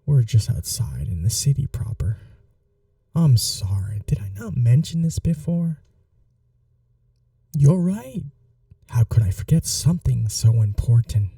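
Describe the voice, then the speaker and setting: A man playing a gentle, old-world vampire gentleman in an intimate ASMR roleplay, speaking in a polite, soothing, softly formal way. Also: mid-range voice, vocal fry, no room sound, far from the mic, heavily acted. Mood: courteous, lightly amused.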